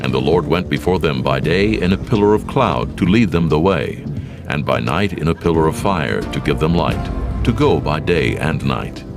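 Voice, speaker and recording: A man reads dramatized scripture aloud, slow and solemn. His voice sits extremely low with a gravelly rasp. Music plays clearly beneath him. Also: animated. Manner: slow, solemn, measured delivery. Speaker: narrator. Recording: narration over music.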